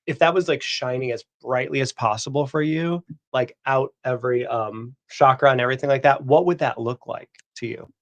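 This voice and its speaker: A man's voice: mid-range voice, normal speed, few pauses, a bit bright. A man hosting a casual podcast interview, asking questions and reacting with short murmured responses. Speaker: podcast host.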